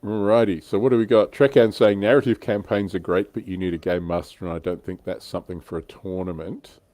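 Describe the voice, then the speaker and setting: A man's voice: low-pitched, fairly even intonation, a little fast, mellow, no room sound. A man hosting a livestream in casual, chatty talk. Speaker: livestream host.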